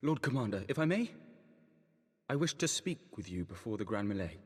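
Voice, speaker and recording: A man's voice: lowish voice, very animated, a little fast, husky, long reverb tail. An actor playing an earnest, guilt-ridden young nobleman in a video game, with formal, pleading speech. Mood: earnest, troubled. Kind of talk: dramatic video game cutscene acting.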